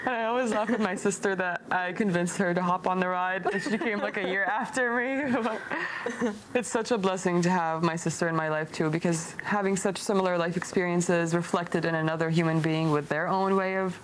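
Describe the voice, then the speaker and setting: A woman's voice: fairly low pitch, fairly even intonation, a little fast, a crowd in the background, low-quality recording. A young woman from Syria talking openly and reflectively as a guest in a personal conversation, with an easy giggle. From Damascus, Syria. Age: young adult. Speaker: podcast guest, medical device engineer. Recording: clear background noise, ambient sound.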